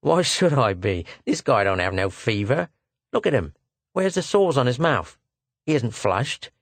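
A man's voice: mid-range voice, very animated, unhurried, dry recording. A man voicing a small, cheeky fruit seller in a story, slangy, ingratiating and impudent. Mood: amused, impudent.

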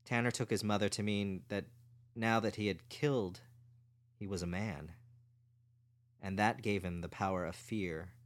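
A man reading fiction aloud as a scripted story narrator. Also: low-pitched. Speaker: audiobook narrator.

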